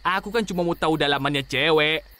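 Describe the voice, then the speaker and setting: A man's voice: on the high side, fast, bright, forceful tone. A man playing cheeky joker Ucok. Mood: teasing. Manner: teasing, joking. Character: young man Ucok, jokey, cheeky comedian.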